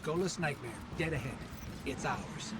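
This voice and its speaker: A man's voice: medium pitch, expressive, normal speed, very noisy, close to an everyday voice. A man voicing an alert, loyal little robot companion in a video game, matter-of-fact in his reporting.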